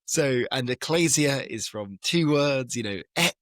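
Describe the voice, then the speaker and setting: A man who is a scholar and a guest on a podcast, explaining ideas in a relaxed conversation, with emphatic rises and light laughs. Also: mid-range voice, a little slow, no echo, studio quality. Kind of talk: casual conversation.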